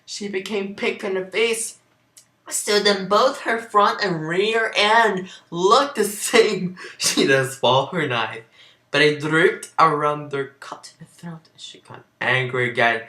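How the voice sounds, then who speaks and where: A man's voice: sits high, takes it slowly, pushed and bright. A man giving an amateur dramatic reading of fan fiction, with sarcastic, sweary asides. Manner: sarcastic, sweary, often breaking into laughter. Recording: roomy, echoey home recording.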